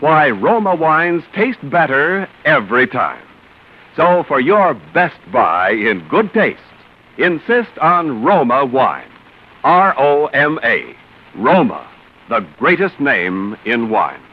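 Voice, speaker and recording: A man delivering a deliberate, emphatic 1940s radio sales pitch as a commercial announcer. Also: big pitch swings. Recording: muffled old radio recording.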